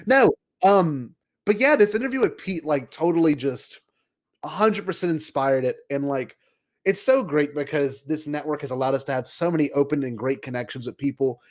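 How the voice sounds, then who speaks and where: A man with a fairly high voice co-hosts a casual podcast. He talks in an animated, enthusiastic way with a joking streak. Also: normal speed. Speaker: podcast co-host.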